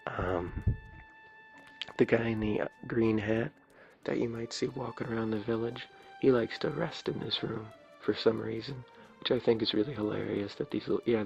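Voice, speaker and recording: Low, very gravelly man doing ASMR gaming. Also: lo-fi sound. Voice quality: raspy, low. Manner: rambling, playful, jokingly self-important. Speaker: game streamer. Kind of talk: ASMR let's play commentary.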